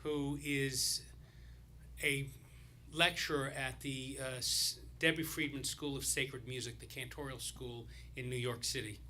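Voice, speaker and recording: A man speaking as a rabbi, telling a loose, rambling story during a service with many pauses and restarts. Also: mid-range voice, steady tone, bright, forceful tone, a little hiss or hum.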